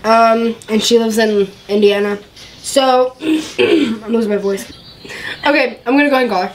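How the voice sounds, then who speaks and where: A woman's voice: on the high side, normal speed, low-quality recording. A young woman chatting casually and playfully on a prank call, with a giggly manner. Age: young adult. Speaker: prank caller, YouTube vlogger. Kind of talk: casual prank phone chat.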